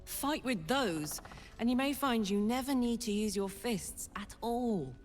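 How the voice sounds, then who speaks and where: A woman's voice: a little high, lively intonation, unhurried. A woman playing a tough, big-hearted female fighter in a video game, casual and encouraging, with long reverb and music behind. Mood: fond, encouraging. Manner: casual, plain-spoken, encouraging.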